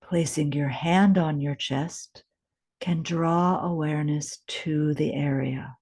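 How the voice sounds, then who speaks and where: Slow, low-voiced woman guiding meditation. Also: steady tone, slightly rough. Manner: gentle, unhurried, deliberate pauses. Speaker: meditation guide. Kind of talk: guided meditation, scripted instruction.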